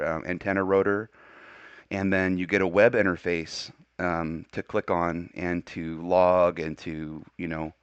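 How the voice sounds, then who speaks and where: A man's voice: deep voice, clear, resonant tone, dry recording. A man chatting casually as a ham radio operator, informal and enthusiastic in his manner. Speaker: ham radio operator, video channel host. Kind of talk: casual two-way amateur radio chat.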